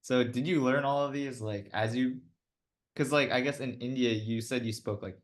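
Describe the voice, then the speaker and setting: An interviewer asking relaxed follow-up questions, often padding sentences with "like" and "I guess". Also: medium pitch, normal speed. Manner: informal, many "like" and "I guess" fillers. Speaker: oral history interviewer.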